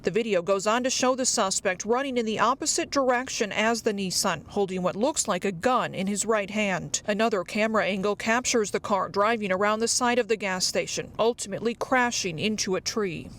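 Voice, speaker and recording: A woman's voice: mid-range voice, fairly quick, hardly any pauses, fairly bright tone, a little hiss or hum. An American woman delivering a scripted television news report as a field reporter.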